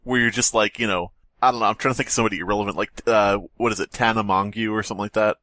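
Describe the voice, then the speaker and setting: A man's voice: on the low side, steady tone, racing, bright, forceful tone, no room sound. A man co-hosting a podcast with casual comedic banter and dry, mock-modest joking. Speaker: podcast co-host.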